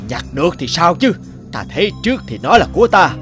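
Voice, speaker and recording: A greedy beggar from an animated fairy tale. His voice is highish and very animated, full of grumbles and hesitant grunts. Music plays clearly behind him. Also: fairly quick. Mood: selfish, defensive. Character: grumpy, greedy, selfish male beggar in a folk tale. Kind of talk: cartoon fairy-tale voice acting.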